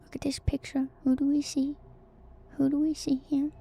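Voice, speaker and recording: A woman streamer whispering an ASMR lore commentary to her viewers in a hushed, musing, unhurried way. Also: sits high, little pitch movement, vocal fry. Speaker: VTuber streamer. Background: music.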